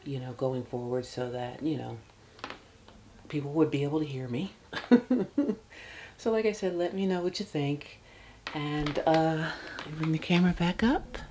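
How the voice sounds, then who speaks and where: A soft-spoken woman in her fifties, casually showing a handbag in her bedroom with halting speech and many fillers. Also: sits low, slight background noise. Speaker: handbag vlogger. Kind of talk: casual product demo. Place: bedroom, seated on a bed. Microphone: external camera mic.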